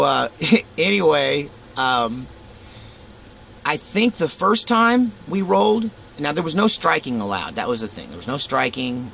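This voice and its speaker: A middle-aged man with a low voice tells a personal story in a loose, rambling way, stopping for fillers and small chuckles. A faint hiss of background noise sits under his voice. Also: a bit bright.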